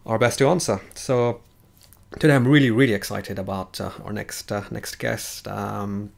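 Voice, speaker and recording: A man with a low, gravelly voice hosts a live-streamed chat. He stops and restarts often and fills his pauses with "uh" and "um" as he speaks. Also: steady tone. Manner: hesitant, many fillers and restarts. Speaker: livestream host.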